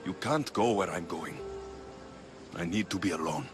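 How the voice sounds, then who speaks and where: An actor playing a young, stoic, gruff mercenary in a video game, speaking in a terse, restrained way. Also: male voice, sits low, unhurried, over music. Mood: subdued, gentle. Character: gruff stoic male mercenary, young, world-weary but kind. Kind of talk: cinematic video game acting.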